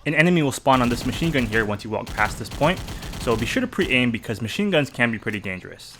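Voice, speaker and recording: A man's voice: medium pitch, sing-song, fast talker, a bit bright, slight background noise. A man giving informative gameplay commentary, explaining things methodically as a walkthrough narrator and adding his own opinions.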